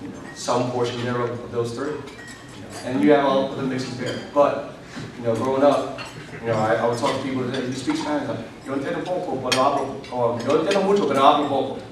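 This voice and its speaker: A man's voice: mid-range voice, quick pace, background noise. A middle-aged man from New York giving a rambling, conversational keynote speech, full of "you know" fillers. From New York, United States. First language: English. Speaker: keynote speaker. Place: large convention hall. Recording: echoey hall from far off.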